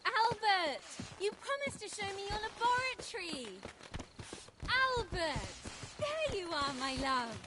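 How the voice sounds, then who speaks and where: Very high coquettish lover. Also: female voice, sing-song, takes it slowly, very noisy, heavily acted. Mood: playful, calling. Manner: flirtatious, calling out. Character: coquettish, excitable female lover at a party. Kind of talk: video game voice acting.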